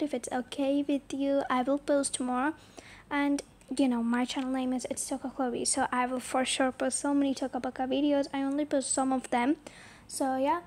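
A woman's voice: high voice, a little mellow. A young woman chatting casually as a channel host, telling a roleplay story with lots of filler words. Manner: chatty, heavy use of like and you know.

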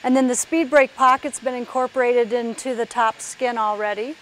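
A woman's voice: fairly high pitch, fairly even intonation, normal speed, fairly bright tone, a little hiss or hum, rough recording. A woman guiding a walking tour of an aircraft workshop, explaining parts as she points them out. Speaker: factory tour guide. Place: large aircraft workshop indoors.

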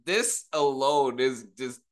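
Slow-paced, enthusiastic man reacting casually. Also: mid-range voice, lively intonation. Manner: enthusiastic, awed, slangy. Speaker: reaction video host, rapper. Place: small home room.

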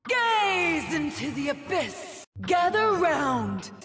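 A woman voicing an ominous, commanding mystic sorceress in a video game, theatrical and drawn-out. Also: very high voice, sing-song, in a large hall, full character voice. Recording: loud music behind the voice.

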